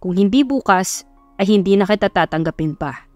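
A woman's voice: medium pitch, animated, moderate pace, darkish tone, no echo, faint music behind, natural voice. A woman voicing a curt, bossy young male playboy CEO with a commanding, impatient manner in an audio love story.

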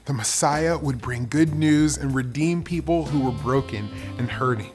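A man giving a calm, measured scripted Bible narration for children. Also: medium pitch, music in the background. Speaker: Bible lesson presenter.

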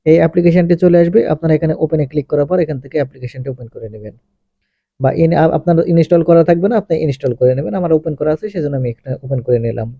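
A man narrates a tech tutorial in a fairly high voice at a very rapid pace. He goes through each step methodically, often repeating his phrasing. The recording is close and dry. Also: no room sound, muffled recording. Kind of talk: step-by-step instructional voice-over.

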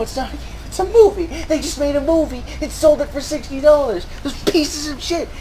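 A woman's voice: mid-range voice, a little mellow, slight background noise, poor audio. A woman gaming creator delivering an angry, emphatic, repetitive rant full of swearing over gameplay. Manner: exasperated, profane, emphatic, repetitive.

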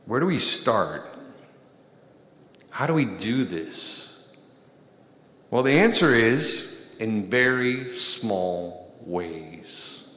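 A man in his thirties, a priest delivering a sermon from a pulpit, speaking with slow, deliberate emphasis and pauses. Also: lowish voice, big pitch swings, a bit bright. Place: large church, at a pulpit. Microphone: pulpit microphone. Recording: echoey church with long reverb.

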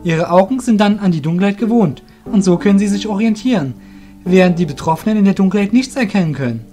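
A man voicing an anxious, alert young male adventurer in an audio drama, excitable and urgent. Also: high-pitched, quick pace, soft background music, pitched above the performer's own voice. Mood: explaining, keen.

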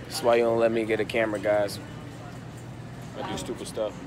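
A man's voice: lowish voice, flat delivery, fairly quick, a crowd in the background. A young man vlogging while grocery shopping, joking to a phone held at arm's length in a large supermarket. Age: young adult. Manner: playful, clowning, sing-song repeats. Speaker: vlogger filming the shopping trip. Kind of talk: casual joking chat to camera.

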